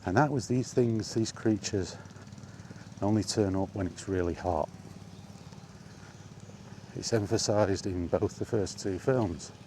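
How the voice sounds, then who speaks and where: British man, low-pitched, mellow dark tone, blunt sarcastic film chat, some background noise. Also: slow pace, pauses often. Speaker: film vlogger. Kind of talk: casual opinionated film chat, rambling monologue.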